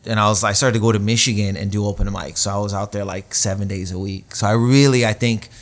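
A man's voice: fairly low pitch, steady tone, fast. A man in his late thirties from Canada, a stand-up comedian telling stories in a casual interview, rambling and self-deprecating with slangy asides. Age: 30s.

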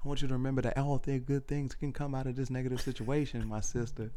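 A man's voice: mid-range voice, level tone, fast talker, warm tone, close to an everyday voice. A man voicing a preachy Southern radio pastor in a put-on Southern accent, giving sermon-like commands in an improvised sketch.

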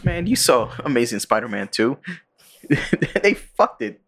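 Bright-voiced man rambling through movie news. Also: medium pitch, big pitch swings, unhurried, a little hiss or hum. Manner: rambling, swearing, with many ums and likes, laughing. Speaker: podcast co-host. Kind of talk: casual chat about movie news.

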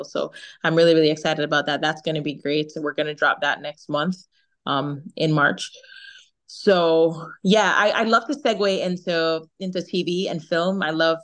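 A young woman with a Jamaican accent and a fairly low voice talks freely about her plans. She laughs often and doubles back on her words as she goes. She comes through a remote video call. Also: a bit bright. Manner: chatty, laughs often, repeats herself. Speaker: singer, interview guest. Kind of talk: casual interview chat.

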